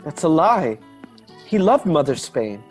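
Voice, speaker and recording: An actor playing an earnest young gentleman, a loyal son, in a radio drama. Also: a little high, sing-song, measured pace, soft background music. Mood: pained, earnest.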